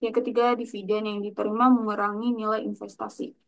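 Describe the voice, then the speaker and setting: A woman's voice: mid-range voice, flat delivery, a little fast. A young woman giving a scripted explanatory presentation as a student, in a formal, recited manner. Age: young adult. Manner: formal, recited from prepared text.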